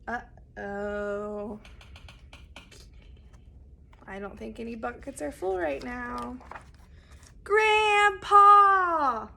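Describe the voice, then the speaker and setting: A woman reading a children's picture book aloud expressively and deliberately, speaking straight to young listeners. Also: a little high, steady tone, vocal fry, a little hiss or hum. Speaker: read-aloud storyteller.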